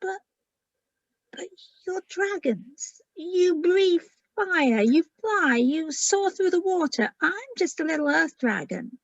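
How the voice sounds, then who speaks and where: A woman's voice: extremely high pitch, animated, takes it slowly, dry recording, muffled recording, pitched above the performer's own voice, full character voice. A woman voicing a small female earth dragon in a storybook, puzzled but plucky. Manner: hesitant, polite, indignant.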